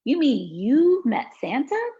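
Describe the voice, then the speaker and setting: An eager little girl full of questions, as a woman puts her on, her voice pitched up and bouncing between high wondering notes and gravelly edges. The read-along recording is dull and lo-fi. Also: big pitch swings, slow pace, mellow, exaggerated voice. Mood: curious.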